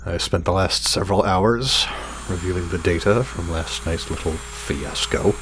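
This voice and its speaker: A man's voice: low-pitched, normal speed, background noise, lightly acted. An actor playing an irritable, obsessive scientist muttering hesitantly to himself and his drone in an audio drama. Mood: clinical, annoyed. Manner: muttering, self-reassuring, hesitant, swearing.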